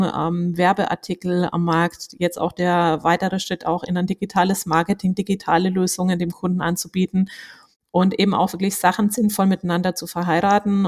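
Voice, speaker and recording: A woman's voice: lowish voice, a little mellow. Franconian woman talking business at length. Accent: Franconian German. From Franconia, Germany. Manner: talks at length with many hesitation fillers. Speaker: company executive guest. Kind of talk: business interview.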